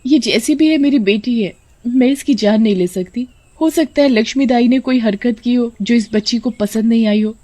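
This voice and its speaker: A protective young mother in a village horror tale, voiced by a woman. She sounds high, fretful and pleading, as if fear is never far off. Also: normal speed, warm tone, close to an everyday voice. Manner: pleading, fretful, stammering when afraid.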